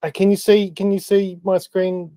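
A man with a New Zealand accent casually explaining photo-editing experiments on a video call, halting with many ums and small self-deprecating laughs. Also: high-pitched, level tone, mellow, no room sound. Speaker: meeting participant presenting photo-editing experiments.

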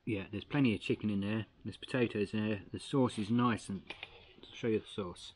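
A man's voice: lowish voice, brisk, breathy edge. An older man from Australia, chatting casually as he cooks and tastes camp food at a picnic table in the bush, recorded by a camera across the table. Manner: chatty and relaxed, talks while eating. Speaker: outdoor vlogger. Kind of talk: casual camp-cooking review. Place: outdoors in bushland at a picnic table.

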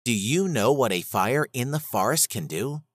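A man's voice: mid-range voice, animated, moderate pace, husky, no echo, studio quality. A man voicing a concerned, earnest male dog, urgent and admonishing, in a children's storybook. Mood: warning.

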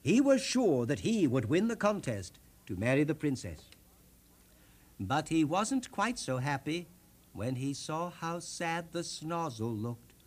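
A man narrates a children's storybook at a leisurely pace, with huge swings of intonation. His voice is husky and a little high, and he savors each description in a theatrical, deliberate manner. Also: sing-song. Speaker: storyteller host. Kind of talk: expressive children's storybook reading.

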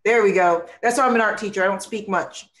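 A woman co-hosting a casual panel chat on a video call, talking in a playful, animated way. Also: mid-range voice, quick pace. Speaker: co-host. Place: room at home.

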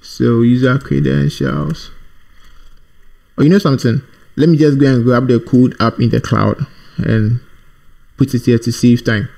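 A man presenting an informal programming screencast, thinking aloud in a halting, repetitive way as he types. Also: mid-range voice, steady tone, gravelly, a little mellow. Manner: thinking aloud while typing, halting, repeats himself. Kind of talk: informal screencast coding tutorial.

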